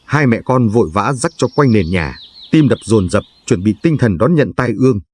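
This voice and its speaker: A man's voice: fairly low pitch, lively intonation, normal speed, background noise. A man narrating a folk tale as a scripted story, in a solemn, emotive and dramatic manner.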